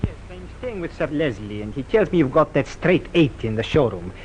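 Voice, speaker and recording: A man playing a smooth, courteous swindler in an old radio play. His fairly high voice swings widely in pitch and oozes politeness, over faint recording hiss. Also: animated, moderate pace, natural voice, poor audio. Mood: ingratiating. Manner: courteous, ingratiating. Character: smooth-talking, polite male con-man car thief. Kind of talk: 1950s radio drama acting.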